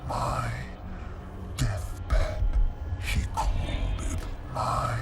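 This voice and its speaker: An actor voicing a malevolent, dying artificial intelligence in a video game, with halting, clipped, cold machine phrasing and distorted processing. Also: male voice, medium pitch. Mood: broken, ominous. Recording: game dialogue under loud music.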